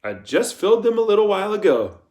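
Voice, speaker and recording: A man's voice: sits high, big pitch swings, quick pace, darkish tone, voice pushed up. Man as a smug, deferential male camp counselor. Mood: flustered, defensive. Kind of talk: audiobook character voice.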